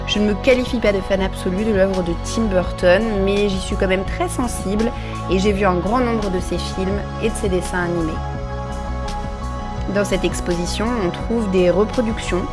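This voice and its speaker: Woman narrating a vlog voice-over. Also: medium pitch. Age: 30s. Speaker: vlogger.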